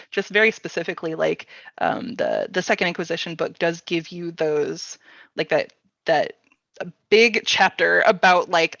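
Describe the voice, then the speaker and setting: A woman chatting casually as a workshop panelist about storytelling, in halting phrases peppered with "like". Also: fairly low pitch, creaky, fairly bright tone. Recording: roomy echo, slightly dull sound.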